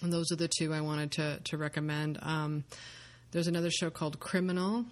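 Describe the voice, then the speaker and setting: A woman's voice: low-pitched, flat delivery, fairly quick. Chatty woman podcast host, full of fillers. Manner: enthusiastic, rambling with many fillers. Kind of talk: casual podcast chat.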